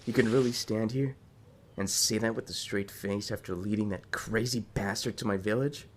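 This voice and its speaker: A man's voice: fairly low pitch, steady tone, moderate pace, a little hiss or hum, natural voice. An actor playing a condescending, hostile young man, a resentful lord's son, in an audio drama. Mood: bitter, accusing. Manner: sneering, condescending.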